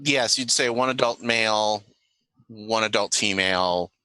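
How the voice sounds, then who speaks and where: A man running a tabletop role-playing game, improvising narration and haltingly thinking aloud as he goes. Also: fairly low pitch, bright, forceful tone, smooth. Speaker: game master.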